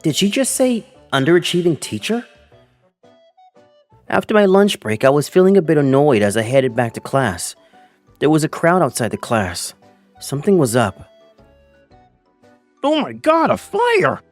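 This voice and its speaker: A man's voice: a little high, animated, moderate pace, faint music behind, natural voice. A man voicing an earnest twenty-five-year-old male teacher who narrates his own story and acts out his lines in a manga dub. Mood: frustrated. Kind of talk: first-person manga dub narration with acted dialogue.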